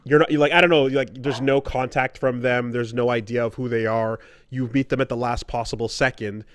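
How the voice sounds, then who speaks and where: A man co-hosting a podcast, talking casually and analytically, often halting and repeating words as he thinks. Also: medium pitch, fairly even intonation. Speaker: podcast co-host. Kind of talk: casual analytical chat about stories in games.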